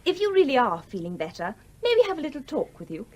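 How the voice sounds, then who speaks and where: Very high-pitched, genteel young Englishwoman. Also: very animated, fast, a little mellow. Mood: solicitous. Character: earnest, managing, genteel young married daughter. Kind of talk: radio play acting.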